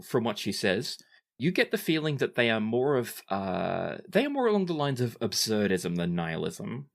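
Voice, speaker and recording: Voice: mid-range voice, big pitch swings, normal speed, vocal fry. A game master improvising and narrating in a tabletop role-playing game.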